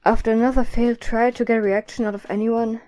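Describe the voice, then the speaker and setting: A woman's voice: fairly high pitch, flat delivery, fast, dark tone. A woman narrating a scripted story as the narrator of an audio drama.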